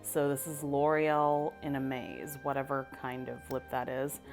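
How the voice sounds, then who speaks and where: A woman in her thirties with a low voice, chatting casually while doing her makeup for a vlog, upbeat and self-deprecating. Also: unhurried, creaky, loud music behind the voice. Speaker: vlogger. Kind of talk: casual get-ready-with-me vlog.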